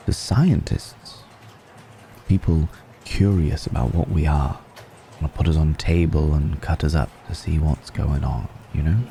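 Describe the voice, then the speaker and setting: A man playing a suave, secretive, courteous vampire noble in an intimate ASMR roleplay, speaking in a gravelly murmur with measured, hesitant pauses and genteel teasing. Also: a bass voice, dark tone, slight background noise, exaggerated voice. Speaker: audio roleplay performer.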